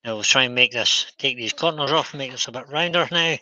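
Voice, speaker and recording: A man with a Scottish accent hosting a live woodturning stream, chatting in a relaxed way as he works in an indoor workshop. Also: medium pitch, a little fast, dry recording. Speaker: woodturner host. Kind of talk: casual chat while demonstrating.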